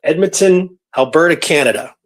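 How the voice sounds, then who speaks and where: Guy hosting a radio show, voice a bit high, chatting through an old case, animated and conversational. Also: normal speed. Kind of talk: casual storytelling discussion of a historical case.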